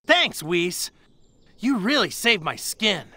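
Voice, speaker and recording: High, bright anime fighter. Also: male voice, very animated, slow pace, exaggerated voice. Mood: cheerful. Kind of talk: anime game voice acting.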